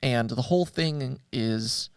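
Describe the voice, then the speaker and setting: A man's voice: mid-range voice, slow pace, clean tone, dry recording, clean studio sound. A man in a casual discussion as a show host, speaking hesitantly and searching for his words. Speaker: podcast host. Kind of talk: casual discussion of audio dramas.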